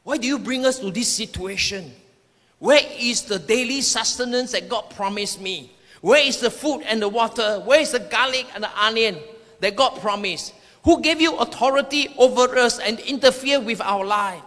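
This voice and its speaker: A man's voice: extremely high pitch, moderate pace, a bit bright. A man preaching a sermon, declaring his points emphatically and repeating phrases, recorded in an echoing hall. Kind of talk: sermon with personal prophetic words to the congregation. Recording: echoing hall with long reverb.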